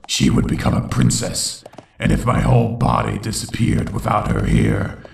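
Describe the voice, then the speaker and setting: A man playing a brooding unicorn villain who solemnly narrates his tormented youth in a gravelly voice, as in a dramatic comic dub. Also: low voice, darkish tone, roomy sound, far from the mic, slight background noise, heavily acted. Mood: ominous, regretful. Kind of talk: scripted first-person dramatic narration.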